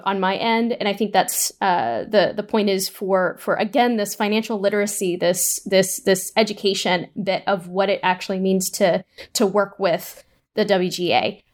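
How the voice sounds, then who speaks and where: A woman's voice: medium pitch, steady tone, normal speed. A woman speaking conversationally as an interview guest, feeling her way through points in a rambling, hesitant manner. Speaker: audio drama writer and producer.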